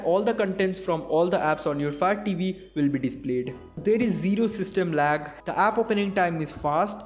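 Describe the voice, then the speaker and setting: A young man with an Indian English accent, narrating a scripted gadget review in a high, light voice. His delivery is clear, measured and explanatory. Soft music plays faintly underneath. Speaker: tech reviewer. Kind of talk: scripted product review voice-over.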